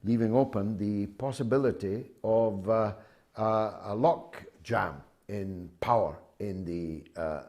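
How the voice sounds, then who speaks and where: Emphatic older Scottish man hosting radio. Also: on the low side. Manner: forceful, emphatic, measured with many pauses. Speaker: talk show host. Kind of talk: call-in radio talk.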